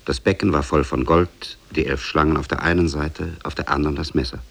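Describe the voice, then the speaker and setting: A man narrates a tale in the manner of 1950s radio. His voice is extremely deep, husky and full of fry. The delivery is measured and solemn. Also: steady tone, fairly bright tone. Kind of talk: scripted storybook narration, 1950s German radio.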